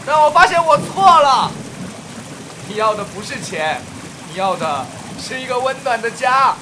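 An actor playing an earnest, devoted young man in an audio drama, pleading haltingly and emotionally. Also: very high-pitched, big pitch swings, noisy background. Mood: urgent, pleading. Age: young adult. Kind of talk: Mandarin audio drama acting.

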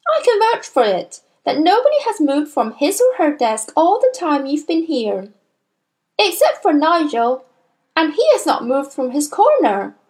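A woman's voice: high voice, big pitch swings, unhurried, a little mellow, pitched above the performer's own voice. A woman voicing a kind young schoolteacher in a story, speaking earnestly, firmly and politely.

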